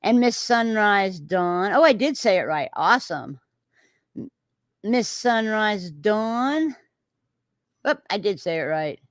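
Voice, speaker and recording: A woman's voice: mid-range voice, animated, slow pace, pauses often, no echo, studio quality. A woman with a Texan accent hosting a casual livestream, reading out viewer comments and reacting in a rambling way with many filler sounds and restarts.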